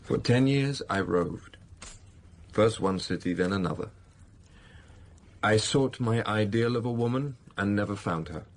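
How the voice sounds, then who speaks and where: An actor playing a brooding, passionate middle-aged master of a country estate in a period radio drama, gravelly and intense. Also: male voice, fairly low pitch, unhurried, pauses often. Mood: brooding, regretful. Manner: intense, confessional, pleading.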